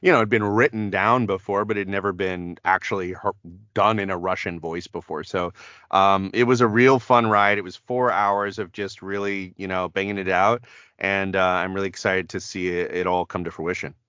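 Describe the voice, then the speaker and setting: A man's voice: low-pitched, steady tone, moderate pace, sharp tone, clear, resonant tone, no room sound, highs cut off. A man, a voice actor, chatting as a podcast guest, rambling with many fillers and restarts. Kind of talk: casual podcast interview.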